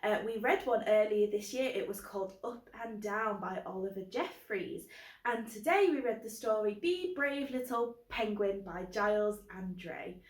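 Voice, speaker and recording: A woman's voice: medium pitch, expressive, a little slow. A woman presenting a children's book club in an encouraging way, recorded with a roomy echo. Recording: roomy, echoing sound.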